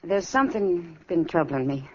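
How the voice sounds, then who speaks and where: A woman's voice: fairly low pitch, expressive, normal speed, a little mellow, slight background noise, lightly acted. An American actress playing a worldly, frank, caring saloon woman in a muffled 1950s radio western. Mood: concerned. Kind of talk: 1950s radio drama acting.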